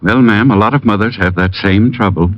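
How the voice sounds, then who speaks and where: A man doing a reassuring sales pitch in a 1950s radio commercial. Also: a little fast, no room sound. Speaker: announcer in a commercial. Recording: dull dry old radio recording.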